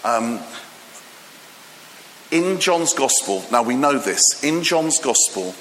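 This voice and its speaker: A Greek-born man preaching in a church hall, his bright, forceful voice carrying a long reverb. He tells his stories with lively animation, restarting sentences and dropping in fillers. A faint room ambience sits behind him. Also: mid-range voice, a little hiss or hum. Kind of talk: sermon.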